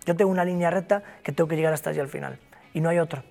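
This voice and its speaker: A man's voice: a little high, steady tone, very fast, gravelly, darkish tone, soft background music. Emphatic man with a Galician accent. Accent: Galician Spanish. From Galicia, Spain. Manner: emphatic, repetitive, motivational. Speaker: interview guest, broker company founder. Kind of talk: interview conversation.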